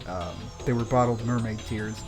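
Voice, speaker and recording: A man's voice: fairly low pitch, moderate pace, over music. A man co-hosting a show, retelling a story in a casual, wry, colloquial way. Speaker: podcast co-host.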